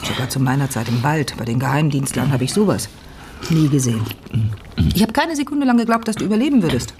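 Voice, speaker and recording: A woman's voice: deep voice, animated, quick pace, recorded from a distance, background noise. A woman playing a tough former intelligence agent who nurses a friend, firm and caring, in a radio drama.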